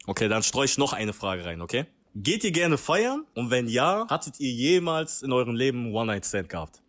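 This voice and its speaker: A man's voice: mid-range voice, sing-song, fairly quick, fairly bright tone. A man hosting a studio game show, asking questions in a casual, slangy way. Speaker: host.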